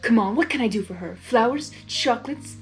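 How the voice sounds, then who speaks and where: A woman's voice: on the high side, animated, brisk, faint music behind, close to an everyday voice. Woman as an impatient, lovestruck male chaos creature. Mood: scheming, flustered.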